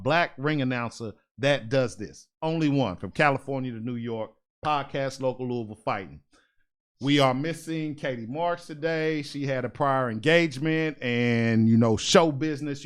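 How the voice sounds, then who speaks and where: A man hosting a casual podcast interview, animated and joking, often repeating his own phrases. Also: mid-range voice, clear, resonant tone. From United States. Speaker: podcast host and ring announcer.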